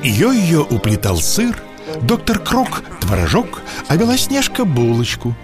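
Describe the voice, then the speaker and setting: Expressive male fairy-tale narrator. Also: on the high side, a little slow. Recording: music behind the voice.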